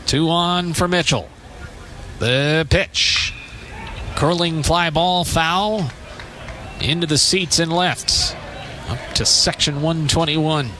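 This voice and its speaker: A man's voice: fairly high pitch, slow, pauses often, background noise. A man doing live radio baseball play-by-play, giving clipped calls and statistics from a stadium. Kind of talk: live radio sports commentary.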